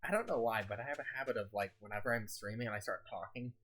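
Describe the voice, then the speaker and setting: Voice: mid-range voice, fast, a bit bright. A chatty streamer rambles through a play session and laughs easily. The voice has a scratchy edge from a slightly sick throat. There is a faint hiss behind it. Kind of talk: casual gameplay chat.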